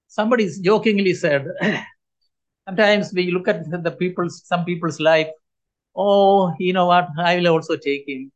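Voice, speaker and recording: Old guy in his 70s preaching, kinda high voice, earnest, lots of pauses. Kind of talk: sermon.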